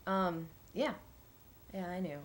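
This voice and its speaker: A woman's voice: lowish voice, slow. A woman playing an earnest witness in an audio drama, speaking hesitantly and conversationally as she recounts events to an investigator. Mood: candid, troubled. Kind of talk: audio drama interview testimony. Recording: faint background noise.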